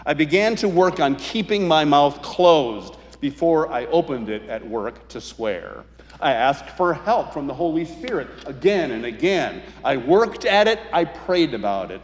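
An American man in his sixties preaching a sermon as a pastor, speaking deliberately with emphatic pauses and phrases that fall away. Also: medium pitch. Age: 60s. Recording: muffled, reverberant hall recording.

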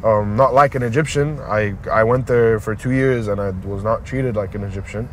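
A man's voice: fairly low pitch, normal speed, slight background noise. A man of about twenty who grew up in Dubai, a rapper speaking reflectively and laughing often in a casual interview.

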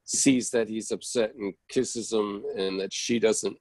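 A man's voice: lowish voice, steady tone, unhurried, a little mellow, no echo. A man giving casual, reflective feedback in a discussion, earnest and searching for his words. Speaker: audience member giving feedback on a play.

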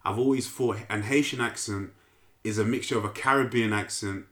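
A man's voice: on the low side, a little fast, fairly bright tone. A young man, co-hosting a podcast with blunt, swearing, opinionated casual chat. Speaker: podcast co-host. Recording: roomy echo.

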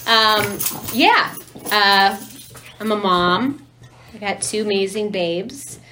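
A woman's voice: mid-range voice, slow pace, bright, forceful tone, a little hiss or hum. An American woman in her thirties talking casually as a guest on a podcast. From Ohio, United States. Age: 30s. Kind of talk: casual chat. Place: apartment room.

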